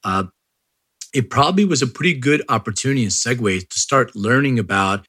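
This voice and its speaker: A man's voice: mid-range voice, animated, a little fast, pushed and bright, breathy edge, no echo. A man hosting an interview, asking rambling, jokey questions in a casual chat. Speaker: interviewer, host.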